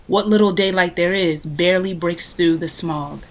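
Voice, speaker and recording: A woman's voice: fairly low pitch, moderate pace. A woman reading a play's stage directions as scripted narration over a video call. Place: online rehearsal on a video call.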